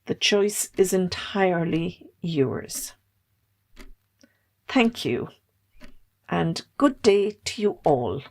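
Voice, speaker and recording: A woman acting a stern, businesslike solicitor in an amateur drama, formal and measured. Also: fairly low pitch, lively intonation, full character voice. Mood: firm.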